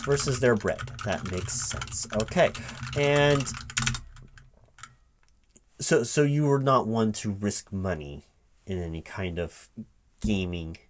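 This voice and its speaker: A man speaking aloud in a one-sided ASMR job interview roleplay as a recruiting manager, halting and repeating himself as if puzzled. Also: lowish voice, noisy background. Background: computer keyboard typing.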